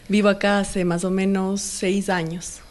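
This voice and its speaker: A woman from Ecuador with a Cuenca accent, speaking softly and thoughtfully as a guest on a radio talk show. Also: medium pitch, level tone, slow pace.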